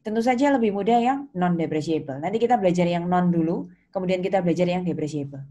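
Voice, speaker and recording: Female Indonesian lecturer, pitch a touch low, conversational explanatory delivery, often turning to the students with questions. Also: quick pace, dark tone. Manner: conversational, checks understanding with questions. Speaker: accounting lecturer.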